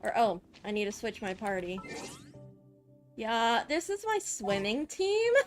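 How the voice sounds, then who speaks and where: Girl streaming a game, chatty and sweary, kinda slow with pauses, faint music. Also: on the high side, very animated. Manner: informal, swearing, thinks out loud. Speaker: gaming streamer. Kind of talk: casual gameplay reaction commentary. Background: video game music.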